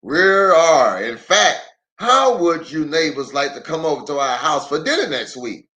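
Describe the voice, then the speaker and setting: A man's voice: fairly high pitch, lively intonation, measured pace, bright, forceful tone. A man voicing a kindly, neighborly mother bear in a children's storybook, speaking politely. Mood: warm, inviting.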